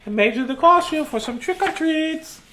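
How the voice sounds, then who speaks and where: A man's voice: extremely high pitch, lively intonation, moderate pace, sharp tone, slight background noise, voice pushed up, full character voice. A man voicing a doting, cheerful mother dog in a cooing, sing-song manner for a children's storybook.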